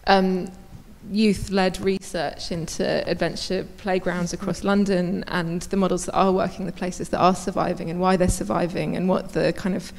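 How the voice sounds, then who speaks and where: A woman's voice: lowish voice, level tone, normal speed, creaky, hardly any pauses, darkish tone. A woman in her thirties, an architect on a conference panel, speaking conversationally into a handheld mic. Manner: conversational, many fillers and restarts. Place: large conference hall on stage. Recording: long reverb of a large hall.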